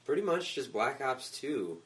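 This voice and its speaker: A man co-hosting a casual gaming podcast, his voice a little worn from a cold, talking in a relaxed way with laughs between points. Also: medium pitch, a little slow. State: slightly lost voice. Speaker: podcast co-host.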